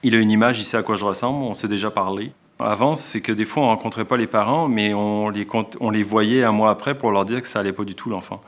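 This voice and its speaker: Low-voiced Québécois male teacher. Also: quick pace, hardly any pauses. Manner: reflective, explains with frequent restarts. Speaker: elementary school teacher. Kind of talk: documentary interview.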